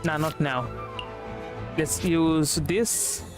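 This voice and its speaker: A man's voice: a little high, very slow. A man giving casual game stream commentary, musing aloud and repeating himself hesitantly. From India. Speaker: livestreamer. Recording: game music behind the voice. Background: game music.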